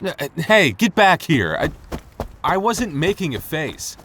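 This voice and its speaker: A man's voice: a little high, big pitch swings, slow pace, a bit bright, a little hiss or hum, full character voice. A man playing a teasing, easily embarrassed childhood friend confessing love in a boyfriend roleplay, stammering and hesitant. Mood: teasing, flustered. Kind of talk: boyfriend roleplay audio drama.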